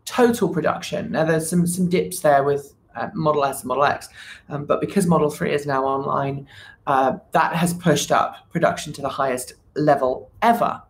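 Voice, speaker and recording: A woman's voice: low-pitched, normal speed, a little hiss or hum. A woman hosting a casual livestream, talking to viewers with many fillers and restarts. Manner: chats with viewers, reads the chat. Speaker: livestream host.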